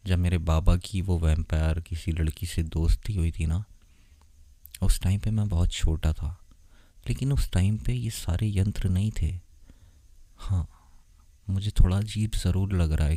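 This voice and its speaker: A man's voice: low voice, mellow, dry recording. A man playing a naive, intense and protective young vampire boyfriend in a romantic ASMR audio drama, earnest and dramatic. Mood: wistful. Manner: earnest, dramatic, puzzled questioning.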